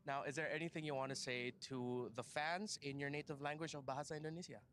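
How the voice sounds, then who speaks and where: A man's voice: fairly high pitch, fairly even intonation, quick pace, fairly bright tone, slight background noise. A man interviewing a player backstage, friendly and upbeat. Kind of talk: casual interview.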